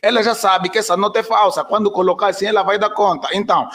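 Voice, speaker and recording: A man's voice: high voice, steady tone, quick pace, fairly bright tone. A man with an Angolan Portuguese accent, a pastor co-hosting a live Q&A, talking earnestly with many restarts. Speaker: pastor, co-host. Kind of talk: live Q&A chat. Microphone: video call.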